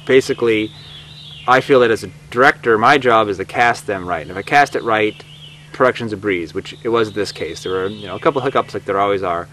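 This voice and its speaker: A man's voice: on the low side, brisk, fairly bright tone. A man speaking as a director in an informal, reflective documentary interview. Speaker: audio drama director. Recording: faint background hum.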